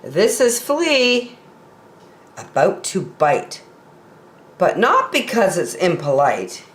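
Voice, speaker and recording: A woman's voice: on the low side, huge pitch swings, takes it slowly. A middle-aged woman reading picture books aloud expressively for young children, in a roomy, echoing home recording.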